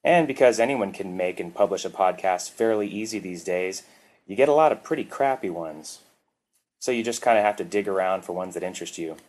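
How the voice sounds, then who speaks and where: A man's voice: low voice, animated, a little fast, a little mellow. A man hosting a podcast, introducing it with dry, offbeat humour. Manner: offbeat, dryly joking. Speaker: podcast host. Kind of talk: scripted podcast introduction and narration.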